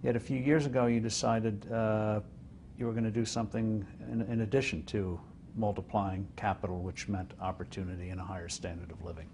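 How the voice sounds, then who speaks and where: An older man hosting a sit-down interview, asking long measured questions with "uh" fillers. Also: on the low side, level tone, darkish tone, slight background noise. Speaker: interviewer host. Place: studio set like a living room.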